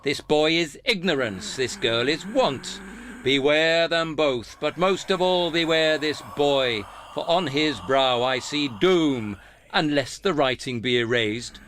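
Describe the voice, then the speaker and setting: An actor playing a solemn, dying Christmas spirit giving stern warnings in a theatrical, commanding radio play manner. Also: male voice, fairly high pitch, slow pace, fairly bright tone, a little hiss or hum.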